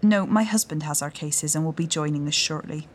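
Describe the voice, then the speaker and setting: Calm mum keeping it together, guy doing her voice, higher pitch, soothing. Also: brisk, a little hiss or hum, natural voice. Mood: breezy. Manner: calm, reassuring, covering worry. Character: composed mother on the run, quietly urgent. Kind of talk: audiobook narration.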